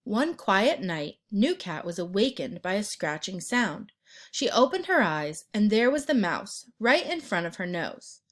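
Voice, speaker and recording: A woman narrating a children's picture book as an expressive read-aloud storyteller. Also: mid-range voice. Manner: pauses to ask the listener questions and point out pictures.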